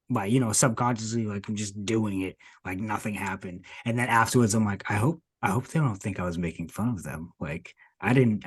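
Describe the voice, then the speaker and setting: A young man from south of Boston with a Boston accent, co-hosting a casual podcast, animated and laughing often as he slips into put-on voices. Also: fairly low pitch, husky. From Massachusetts, south of Boston. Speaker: podcast co-host.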